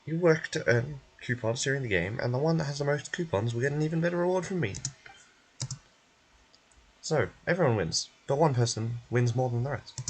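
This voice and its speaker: A man's voice: mid-range voice, expressive, a little fast, slightly rough, rough recording. A man voicing a casual, unbothered prison guard who runs games in a video game. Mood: breezy. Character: easygoing male prison guard running a betting game.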